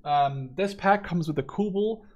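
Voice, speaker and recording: Male gaming host, twenties, from the Netherlands, slightly high-pitched voice, casual and opinionated review delivery. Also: a little slow, dull audio. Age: 20s. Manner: opinionated, informal with swearing. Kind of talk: casual game review commentary.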